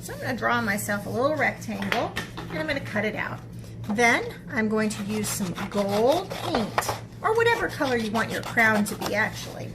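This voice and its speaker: A woman teaching an art project to children step by step, chatting casually, thinking aloud and checking in with little tag questions, with clear background noise. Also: on the high side, big pitch swings, normal speed.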